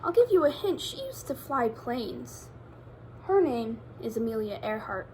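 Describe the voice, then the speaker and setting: A woman's voice: fairly high pitch, big pitch swings, a little slow, darkish tone, slight background noise, poor audio. A woman reading a picture book aloud to children, expressively, addressing them directly with questions like a teacher.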